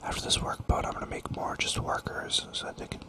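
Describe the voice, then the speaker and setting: A man giving whispered ASMR commentary while playing a strategy game, rambling and thinking aloud, toying with repeated words, close to the microphone. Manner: soft and unhurried, thinks aloud. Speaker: gaming channel host.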